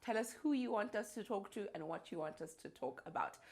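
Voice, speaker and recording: A woman with a South African accent hosting a podcast, lively and quick to laugh. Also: mid-range voice. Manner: lively, laughing, friendly interjections. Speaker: podcast host. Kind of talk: casual podcast chat.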